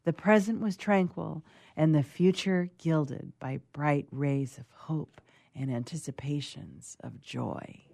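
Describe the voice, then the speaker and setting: A performer reading as Frankenstein's monster, a gentle, hopeful creature, in a formal, lyrical literary style. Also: mid-range voice, slow pace, gravelly, no echo, clean studio sound. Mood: elated, lyrical. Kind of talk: dramatic reading of classic novel.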